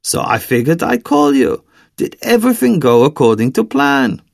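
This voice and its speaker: Man voicing a sly, high-pitched German villain. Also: sing-song, gravelly, dry recording, studio quality, heavily acted. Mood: smooth, coaxing. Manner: coaxing, theatrical, deliberate. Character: tall, sly villainous man, calm, persuasive, sinisterly courteous.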